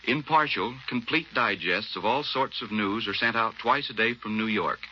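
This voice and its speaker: An American man speaks as an army officer in a low, very sharp voice. His answers are clipped and matter-of-fact, read stiffly for a muffled 1940s radio interview. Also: moderate pace, a little hiss or hum.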